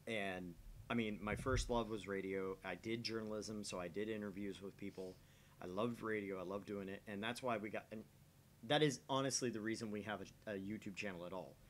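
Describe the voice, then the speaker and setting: A man's voice: fairly even intonation, a little fast. A man hosting a livestream, chatting casually and earnestly into a microphone. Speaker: livestream host of a gaming channel. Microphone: microphone on a stream setup. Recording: noisy mic with low rumble.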